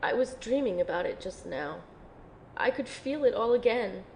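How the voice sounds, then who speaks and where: A woman playing a wounded, frightened young woman in an amateur audio drama, speaking haltingly, weary and vulnerable. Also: medium pitch, expressive, creaky, a little mellow, a little hiss or hum, poor audio. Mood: weary, sad. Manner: halting, hesitant delivery. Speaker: voice actor playing narrator and lead character.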